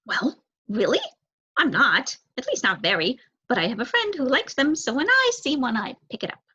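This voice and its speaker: Woman voicing an eager young male crow, animated. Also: sits high, normal speed, breathy edge, muffled recording, pitched above the performer's own voice, full character voice. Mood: bright, chatty.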